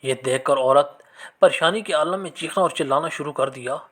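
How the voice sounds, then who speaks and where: A man narrating scripted religious moral stories in an expressive, measured storytelling manner. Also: fairly high pitch.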